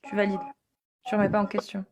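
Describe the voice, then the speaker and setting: A woman's voice: mid-range voice, completely flat delivery, quick pace, highs cut off, background noise. A woman hosting a live online debate, insistent and repetitive, with many fillers.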